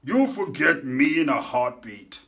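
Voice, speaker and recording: A man voicing a blunt, loner male octopus in a children's picture book, speaking loudly in short clipped lines. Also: mid-range voice, lively intonation, a little slow, gravelly. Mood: gruff.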